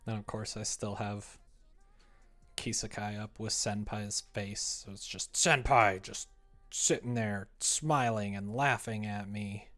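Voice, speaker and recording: A man's voice: fairly low pitch, steady tone, slow, a bit bright. A young man chatting casually on a livestream, thinking aloud in a self-deprecating, muttering way as he works. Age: young adult. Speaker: streamer writing a visual novel. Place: small room indoors at a computer.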